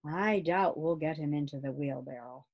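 A woman voicing a gruff, grumbling grown man in a storybook reading. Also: low voice, moderate pace, lo-fi sound.

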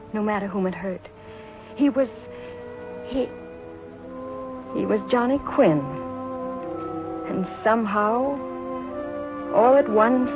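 An actress playing an earnest mother remembering a troubled love, in intimate, halting 1940s radio drama style. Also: fairly high pitch, lightly acted. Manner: wistful, halting, emotional delivery with dramatic repetition. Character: earnest mother recalling her troubled love for a hard man. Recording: dull 1940s radio recording, music behind.

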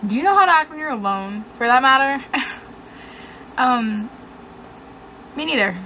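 Casting: young woman, slightly high voice, sarcastic self-deprecating vlogger, webcam in a dim bedroom, faint hiss. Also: expressive, a little slow, bright, forceful tone, poor audio. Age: young adult. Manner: rambling, sarcastic and self-mocking. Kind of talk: casual chat to camera.

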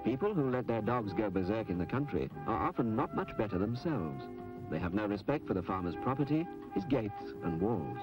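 A man reading scripted public information narration in an instructive, measured manner on an old film soundtrack. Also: on the low side, very animated, low-quality recording. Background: music.

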